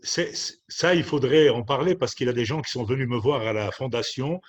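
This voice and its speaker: A man speaking on a panel about religion, measured and hesitant, with frequent fillers. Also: mid-range voice.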